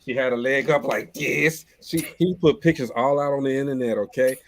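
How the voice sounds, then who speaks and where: A man's voice: mid-range voice, fairly quick. A man hosting a casual live panel chat, telling a story with boisterous jokes. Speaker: host.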